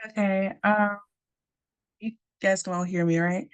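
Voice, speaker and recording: A teenage girl from Nigeria, a high school senior, telling her story casually on an online panel over a video call. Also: fairly even intonation, measured pace, clear, resonant tone. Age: teens. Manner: casual, chatty, with fillers.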